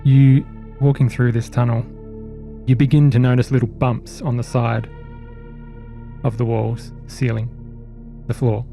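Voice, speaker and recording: A man with a dark, relaxed voice and medium pitch narrates a tabletop horror game. He is the game master, phrasing things deliberately for suspense, with soft music underneath. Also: creaky. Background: faint music.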